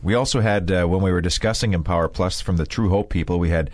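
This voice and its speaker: A man's voice: sits low, quick pace, dry recording. A man hosting a radio health show, recapping guests and reading plugs with many fillers. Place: radio studio.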